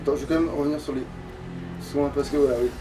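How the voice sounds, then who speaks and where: High-voiced man playing and commentating, off-mic. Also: steady tone, fast talker, warm tone. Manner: slangy, joking, crude. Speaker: gamer, Let's Play player. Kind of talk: casual gameplay commentary. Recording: distant mic with music. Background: game music.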